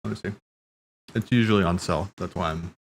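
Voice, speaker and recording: A man's voice: sits low, brisk, a little hiss or hum. A man chatting casually on a livestream as he watches game cutscenes, rambling and thinking aloud.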